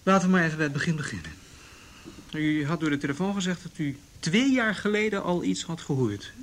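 A calm tactful cop in a radio play, voice a bit high, some faint noise. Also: male voice. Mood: calm, reassuring. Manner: measured, tactful, reassuring. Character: calm, careful male juvenile police detective. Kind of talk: naturalistic Dutch radio drama acting.

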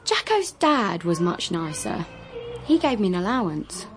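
A woman's voice: on the low side, big pitch swings, a little slow, soft background music. An actress playing a blunt, gossipy working-class woman in a radio drama, chatty and scornful. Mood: matter-of-fact, gossipy.

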